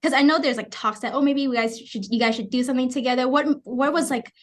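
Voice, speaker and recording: Woman podcast host, higher voice, eager and halting, casual questions. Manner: eager, halting, restarts sentences. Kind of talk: casual interview chat.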